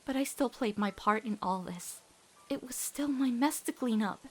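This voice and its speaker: Earnest, self-doubting teenage girl, played by a woman, high-pitched, halting, dramatic cartoon dub. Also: natural voice. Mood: guilty, troubled. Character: guilt-ridden, earnest, stubborn teenage human girl. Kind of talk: dramatic comic dub acting.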